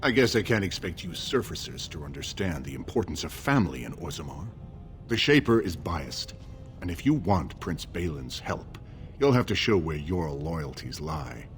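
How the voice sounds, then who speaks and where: An actor voicing a scheming, haughty dwarf man in a video game, smooth and condescending. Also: sits low, very animated, moderate pace, soft background music. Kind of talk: video game dialogue acting.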